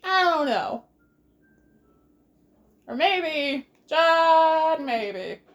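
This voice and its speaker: Casting: woman gaming host, high lively voice, rambling and playful, casual commentary. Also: drawn-out words, highs cut off, soft background music. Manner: playful, rambling, sing-song exclamations. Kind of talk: casual Let's Play commentary.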